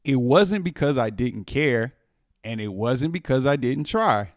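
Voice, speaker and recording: A man reading a children's picture book aloud in an expressive way, stretching words for dramatic emphasis. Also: mid-range voice, unhurried, no room sound, studio quality. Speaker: storytime reader.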